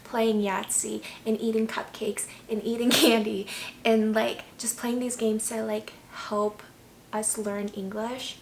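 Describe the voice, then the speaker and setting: Gravelly-voiced young woman, casual and chatty. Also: fairly high pitch, flat delivery, takes it slowly, slight background noise, rough recording. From United States. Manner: candid, chatty, many "like"s and "um"s. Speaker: vlogger. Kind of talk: casual personal storytelling.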